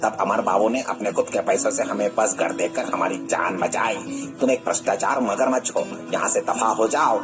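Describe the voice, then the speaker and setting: A man's voice: lowish voice, normal speed, close to an everyday voice. A man voicing an aggrieved, indignant poor villager in a cartoon story. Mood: angry. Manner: aggrieved, declaiming. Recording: music bed under the voice.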